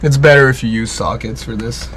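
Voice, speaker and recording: A man's voice: medium pitch, brisk, noisy background. A man whose first language is French, casually explaining a bilge pump install step by step on his sailboat. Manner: relaxed, jokey, explains step by step. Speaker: boat owner and vlogger. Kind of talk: casual how-to talk while working. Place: on a sailboat, working in tight bilge area.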